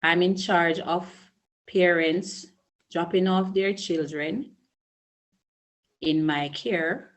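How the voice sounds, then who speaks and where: Jamaican woman, middle-aged, principal being interviewed; low, unhurried, earnest. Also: steady tone. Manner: slow, measured, earnest with restarts. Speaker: early childhood school principal. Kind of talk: interview answers.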